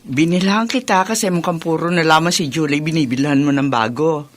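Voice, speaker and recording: A woman's voice: sits low, a little fast, lightly acted. A woman with a Filipino accent acting a fretful, disapproving middle-aged mother of a grown son in a radio drama. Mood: nagging concern.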